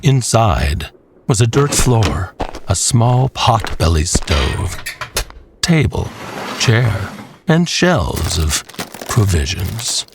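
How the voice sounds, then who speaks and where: Male narrator, very deep pitch, unhurried pace, deliberate storytelling, scripted horror western. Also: big pitch swings, vocal fry, background noise. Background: music.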